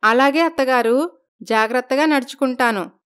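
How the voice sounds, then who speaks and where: Woman as a meek young daughter-in-law, high clear voice. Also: normal speed, a bit bright. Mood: obedient. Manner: deferential, polite.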